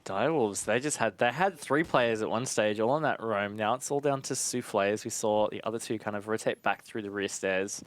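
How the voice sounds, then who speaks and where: A man's voice: fairly low pitch, normal speed, hardly any pauses. Male esports analyst with faint background noise. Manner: chatty, explanatory, checks in with "right?". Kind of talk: live analytical game commentary, conversational.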